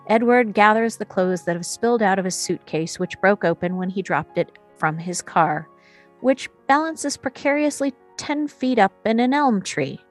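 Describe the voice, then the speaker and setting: A woman narrating scene headings and action descriptions as scripted narration in a table read. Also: medium pitch.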